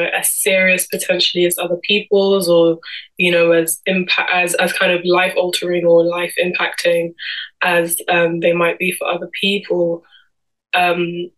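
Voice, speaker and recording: A young woman in her early twenties speaks with a London accent. Her voice sits a little low and stays quite flat in melody. She talks in a reflective, personal vlog style, often pausing to find the next phrase. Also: rough recording. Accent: London English. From London, England. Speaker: guest speaker giving a personal talk to camera.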